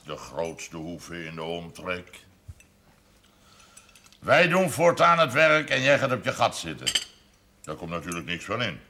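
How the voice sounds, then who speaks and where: An actor playing a proud, blunt, domineering sixty-year-old farmer in a seventies television drama. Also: male voice, low-pitched, bright, forceful tone, full character voice. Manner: blunt, commanding, deliberate storytelling. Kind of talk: 1970s Dutch television drama acting. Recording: noisy old television soundtrack.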